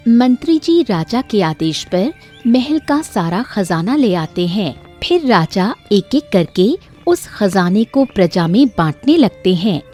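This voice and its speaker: Female storyteller, scripted narration, medium pitch, soft music behind. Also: very animated, slow.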